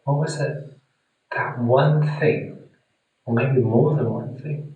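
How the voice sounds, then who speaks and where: A man guiding a meditation in a soothing, deliberate manner with long pauses, speaking gently to the listener. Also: medium pitch, narrow, tinny audio. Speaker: meditation guide. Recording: very echoey room, distant mic.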